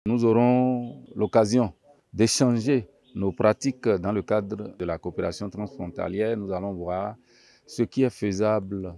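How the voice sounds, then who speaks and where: A mayor with a Beninese accent speaks formally in an interview. He is a man with a deep voice and a warm, dark tone. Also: steady tone, a little slow, gravelly.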